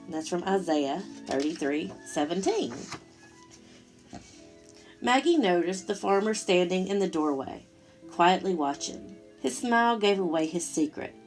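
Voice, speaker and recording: A woman's voice: fairly low pitch, a little slow, faint music behind. Woman reading a children's picture book aloud. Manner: expressive, asks the listeners questions.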